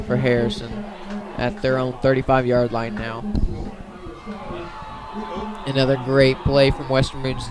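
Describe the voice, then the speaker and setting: Man, radio football play-by-play, very monotone, mid-pitched voice. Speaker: play-by-play commentator.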